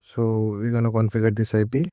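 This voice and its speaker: Male tutorial presenter, mellow and even-toned, halting step-by-step software walkthrough delivery, close dry sound. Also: fairly low pitch, no echo. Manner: halting, repetitive step-by-step instruction. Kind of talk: unscripted software setup walkthrough.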